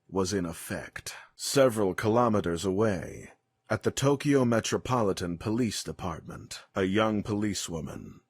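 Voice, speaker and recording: A man narrating a scripted dramatic story in a grave, deliberate, suspenseful manner. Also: fairly low pitch, slightly rough, dry recording, studio quality.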